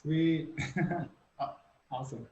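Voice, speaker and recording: A man hosting a live-stream, enthusiastic and quick to laugh. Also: fairly high pitch, little pitch movement, dark tone. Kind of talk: casual chat. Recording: roomy, dull call audio with faint noise.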